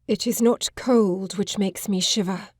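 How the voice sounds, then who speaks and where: An actress playing a frightened, distraught Victorian gentlewoman of thirty in an audiobook drama, with a formal, pleading manner. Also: mid-range voice, steady tone, a little slow, breathy edge, dry recording, clean studio sound. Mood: fearful. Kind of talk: full-cast audiobook drama acting.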